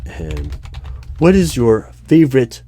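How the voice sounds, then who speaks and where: A man playing a dry, unflappable hiring manager in a one-sided ASMR roleplay job interview, asking measured, halting questions. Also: mid-range voice, wildly swooping pitch, dark tone, a little hiss or hum. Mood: curious, deliberate. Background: computer keyboard typing and clicks.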